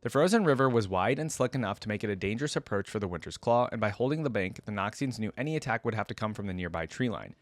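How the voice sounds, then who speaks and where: A man's voice: fairly low pitch, animated, quick pace, few pauses, dry recording. A man talking casually as a video creator, moving between chatty reaction commentary and reading a story aloud.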